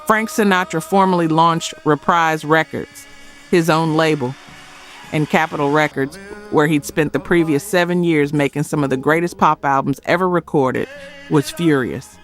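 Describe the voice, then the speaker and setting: A man's voice: on the high side, steady tone, moderate pace, fairly bright tone. Man narrating a documentary over music. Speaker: narrator of a music history video. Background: background music.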